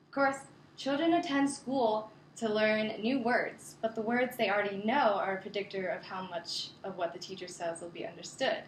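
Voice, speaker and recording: A woman's voice: medium pitch, echoey room, slight background noise, low-quality recording. A young woman giving an informative speech to her class, earnest and reading prepared points with casual fillers.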